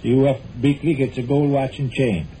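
An American actor playing a stubborn, middle-aged husband with a dry, mock-grandiose manner in a 1940s radio comedy. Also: male voice, mid-range voice, normal speed, warm tone, natural voice. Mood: mock-grand. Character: droll, stubborn, evasive husband in a domestic comedy. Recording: noisy old 1940s radio recording.

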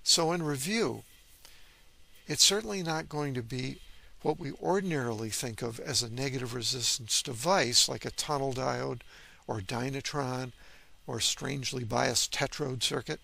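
A man giving scripted technical narration as an instructor, in a measured, didactic manner. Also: medium pitch, creaky, bright, forceful tone. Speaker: electronics instructor.

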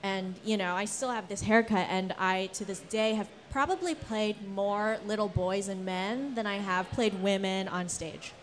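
Casting: young woman, medium pitch, earnest rambling storyteller, casual panel-discussion delivery. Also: moderate pace, hardly any pauses. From Philippines, Singapore and Guam. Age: young adult. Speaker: panelist, theater actor. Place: indoor event space with brick wall. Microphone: handheld event microphone.

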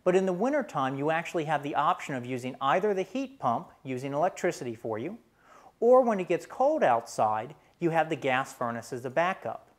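A man's voice: on the high side, big pitch swings, brisk. A man in his thirties with an American accent presents a scripted explainer in a plain, instructional way. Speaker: presenter for a home heating and cooling company.

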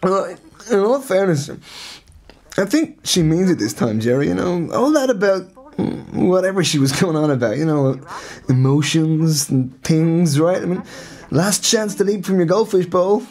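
Easygoing Irish mate, lively pitch. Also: male voice, normal speed. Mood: placating. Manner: hesitant, placating, casual. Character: easygoing, mumbling male friend of the slacker. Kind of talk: naturalistic radio drama acting.

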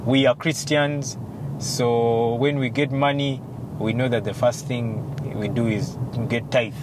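A man in his forties with a Ugandan English accent, a law firm partner explaining things in a measured way with many fillers, interviewed in a moving car. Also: fairly even intonation. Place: passenger seat of a car. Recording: loud car road noise. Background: engine and road rumble.

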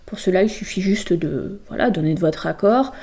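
A woman guest explaining things casually in an interview, with hesitant, halting phrasing. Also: fairly low pitch, lively intonation, mellow, breathy edge. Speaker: guest presenting a volunteer project.

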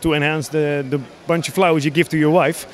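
Man, thirties, Dutch accent, fairly high voice, hesitant, casual interview explanation. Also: flat delivery, a little fast. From Netherlands. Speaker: hobby model builder, interviewee. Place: large busy exhibition hall, indoors. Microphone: handheld interview microphone.

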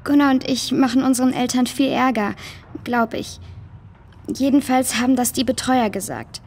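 A female performer playing a troubled, downhearted girl in a children's audio drama, with a high, husky voice. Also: steady tone, normal speed, darkish tone. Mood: sad, ashamed. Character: troubled, downhearted foster girl lost in a cave.

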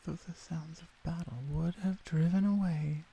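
A woman voicing a wary, protective orc huntress in an ASMR roleplay, soft and blunt with an unhurried delivery. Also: fairly low pitch, steady tone, gravelly, breathy edge. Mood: proud, wary.

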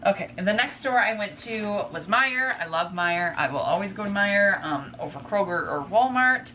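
A woman's voice: mid-range voice, expressive, moderate pace, pushed and bright. A woman in her twenties chatting casually to camera at home as a vlogger, rambling informally and drifting into asides. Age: 20s. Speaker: vlogger, stay-at-home mother. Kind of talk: casual chat to camera, showing groceries. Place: indoors in a home kitchen. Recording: clearly noisy home recording with room ambience.

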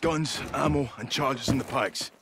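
An actor with a Scottish accent playing a cocky, loyal young special forces sergeant in a game cutscene. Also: male voice, medium pitch, unhurried, background noise, close to an everyday voice. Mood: focused. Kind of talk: video game cutscene acting.

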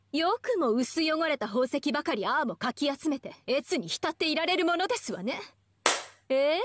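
An actress voicing a proud, elegant young goddess in an anime game, with pompous, ornate ladylike speech. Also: sits high. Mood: haughty, irritated.

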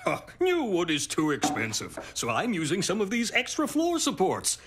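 A man's voice: sits high, wildly swooping pitch, normal speed, background noise. A man voicing a thrifty, grumbling father in a cartoon. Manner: grumbling, exasperated. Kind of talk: cartoon voice acting. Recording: cartoon with music behind.

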